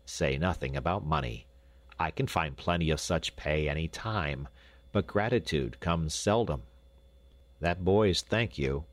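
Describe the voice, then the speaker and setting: Man playing a gruff, curt doctor, low-pitched. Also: animated, slow, hardly any pauses, dry recording, heavily acted. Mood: gruffly kind. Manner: curt, clipped. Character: brusque, gruff, busy male doctor.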